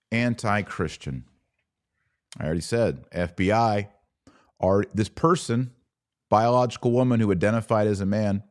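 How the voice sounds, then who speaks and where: A man delivering an opinionated podcast monologue as host, speaking emphatically with rhetorical questions and dramatic pauses. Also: fairly low pitch, a little mellow, no echo, studio quality.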